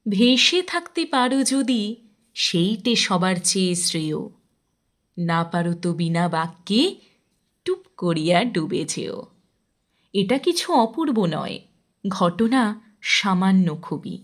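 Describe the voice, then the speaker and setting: A woman reciting a poem expressively, with measured, deliberate phrasing and drawn-out line endings. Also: mid-range voice. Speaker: poetry reciter.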